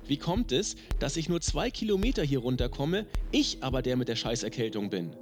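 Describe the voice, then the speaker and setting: A man's voice: mid-range voice, sing-song, brisk. A man reading a novel aloud, voicing the characters in dry, clipped narration. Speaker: audiobook reader. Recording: music loud underneath.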